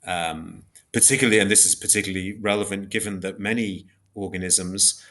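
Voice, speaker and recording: Man, lowish pitch, sharp bright tone, unscripted academic explanation, measured, precise, halting reformulations. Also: steady tone. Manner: hesitant, repeats and reformulates phrases while thinking. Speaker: guest philosopher explaining ideas.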